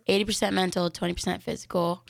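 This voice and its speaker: A woman's voice: lowish voice, level tone, quick pace, creaky. A young woman co-hosting a radio show in chatty, casual talk, using "like" often and laughing easily.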